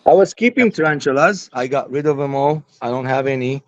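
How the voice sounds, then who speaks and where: Chatty Armenian-Lebanese guest in his forties, middle pitch, dry dull lo-fi audio. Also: fairly quick, dry recording. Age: 40s. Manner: rambling, talkative, chatty. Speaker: guest hobbyist. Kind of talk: casual chat.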